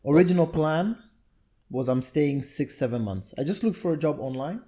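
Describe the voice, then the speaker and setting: A man's voice: fairly high pitch, lively intonation, normal speed, mellow. A man from Zambia, a guest on a casual podcast, telling his story in an easygoing way with many fillers. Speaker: podcast guest, amateur comedian.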